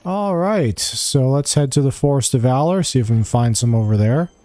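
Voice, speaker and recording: Man, mellow relaxed tone, casual gameplay walkthrough, short thinking-aloud remarks, music behind. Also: medium pitch, moderate pace. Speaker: gamer giving a quest walkthrough.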